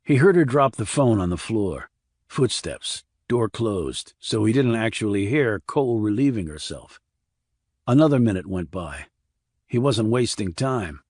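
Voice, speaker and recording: Male narrator for crime thriller audiobook, lowish register, measured scripted read, very dry studio-like sound. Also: very animated, darkish tone, no room sound.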